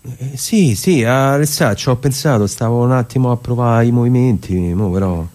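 A man's voice: medium pitch, a little mellow, natural voice. A man with a Roman accent playing an eager, persuasive younger theatre director in colloquial stage dialogue, often stumbling and repeating his words. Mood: harried, reluctant. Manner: halting, repeats words while explaining. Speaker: actor.

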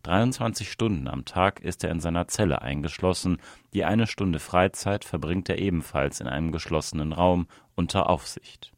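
A man's voice: low voice, moderate pace, few pauses, no echo, clean studio sound. A man reading a written reportage aloud as scripted narration. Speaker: reader of an audio article.

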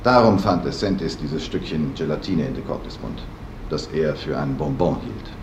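An actor playing a shrewd, relentless police investigator in a science fiction radio drama, speaking with insistent, deliberate emphasis. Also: male voice, a bass voice, darkish tone, far from the mic, a little hiss or hum. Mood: emphatic, explaining. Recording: roomy studio echo.

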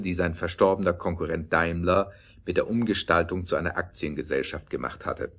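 A man's voice: sits low, fairly bright tone. A man narrating a scripted historical radio play as its storyteller.

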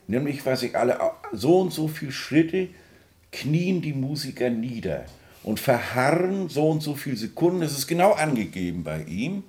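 A man's voice: mid-range voice, sing-song, moderate pace, a little mellow. A man giving informal commentary, searching for his words as he goes and often checking for agreement. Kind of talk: informal spoken commentary on a composer.

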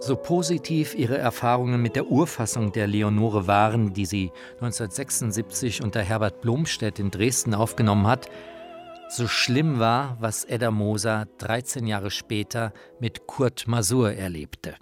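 A man's voice: lowish voice, normal speed, soft background music. A man reading scripted documentary narration as its author.

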